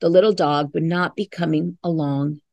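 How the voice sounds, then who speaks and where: A woman's voice: low-pitched, fairly even intonation, moderate pace, creaky, dark tone, no echo. A middle-aged woman reading a picture book aloud to children, expressively, stopping to explain and to prompt listeners with questions. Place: classroom, indoors.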